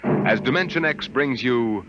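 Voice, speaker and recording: A man's voice: expressive, a little slow. A man hosting a 1950s radio show with dramatic scripted announcing. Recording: very noisy vintage radio, rumbling effects.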